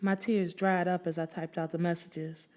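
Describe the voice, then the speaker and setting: A woman's voice: lowish voice, flat delivery, normal speed, darkish tone. A woman narrating a story in the first person with dramatic, emphatic, slangy delivery. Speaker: audiobook narrator.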